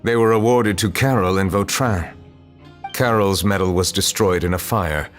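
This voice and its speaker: Man voicing a calm dignified judge, very low voice, formal measured delivery, soft game music. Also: close to an everyday voice. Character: calm, stern male judge, aloof and dignified.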